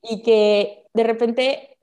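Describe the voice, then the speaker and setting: A woman with a Mexican accent explains ideas in a casual, expressive, conversational way full of colloquial slang. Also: on the high side, very slow. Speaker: guest explaining spiritual ideas.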